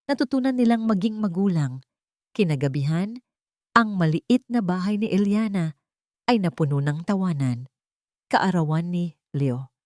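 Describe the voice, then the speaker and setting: A Filipino woman narrates a dramatic love story as a storyteller. Her voice sits fairly low for a woman and the sound is dry and close. The delivery is measured and sentimental. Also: animated, a little mellow, no room sound.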